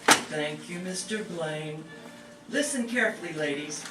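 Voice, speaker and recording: A woman's voice: low voice, lively intonation, a little slow. A woman playing a strict, bossy charge nurse in an amateur staged radio play. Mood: stern, commanding. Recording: echoey, distant, music behind.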